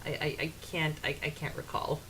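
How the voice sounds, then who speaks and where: A woman co-hosting a podcast in casual chat, with a halting delivery that often repeats phrases. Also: deep voice, flat delivery, a bit bright, slight background noise, poor audio. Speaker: podcast co-host. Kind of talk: reading out quotes and listener comments.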